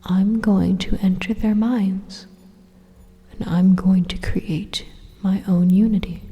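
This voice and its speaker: A woman voicing a coolly persuasive evil robot supercomputer in a soft, half-whispered ASMR monologue, speaking in a measured and menacingly calm way. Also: mid-range voice, gravelly, slightly rough, full character voice. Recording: long reverberant echo.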